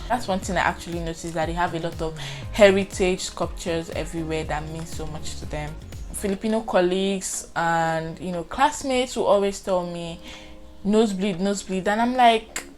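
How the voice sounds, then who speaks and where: Brief: young Nigerian-accented woman, lowish voice, lively vlog chat, faint music under. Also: normal speed. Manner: chatty and expressive, acts out her reactions. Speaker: vlogger. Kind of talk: casual vlog storytelling.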